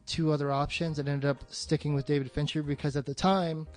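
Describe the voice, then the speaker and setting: A man's voice: fairly high pitch, little pitch movement, brisk, faint music behind. A man chatting casually about film as a podcast co-host, blunt and freely swearing.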